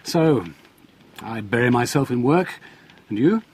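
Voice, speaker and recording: A man playing a melancholy, earnest doctor in a gothic audio drama, measured and confiding. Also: mid-range voice, expressive, darkish tone, slightly rough. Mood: resigned, friendly. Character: melancholy, earnest male asylum doctor, a jilted suitor.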